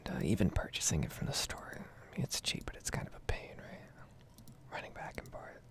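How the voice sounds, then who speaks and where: A woman speaking in a breathy whisper, rambling casually with some swearing in an ASMR gaming video. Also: brisk.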